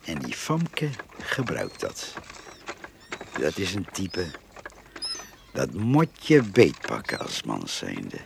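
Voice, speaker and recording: An actor with a Netherlands Dutch accent playing a sly, sneering, lecherous village gossip in a radio play monologue. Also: male voice, low voice, wildly swooping pitch, takes it slowly, pauses often, heavily acted. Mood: leering, gossipy. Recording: radio play with clear background noise.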